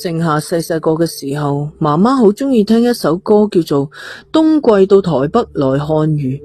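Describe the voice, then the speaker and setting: A woman's voice: on the low side, mellow, slightly rough, no room sound. A woman, narrating a scripted thriller story. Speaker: audiobook narrator.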